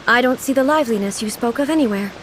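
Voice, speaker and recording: A woman's voice: a little high, quick pace, a little hiss or hum, natural voice, rough recording. A woman playing an aloof, regal young ruler in a video game, formal and composed, with an unworldly curiosity. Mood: matter-of-fact, aloof. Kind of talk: game character acting. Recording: light music behind the voice. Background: game music.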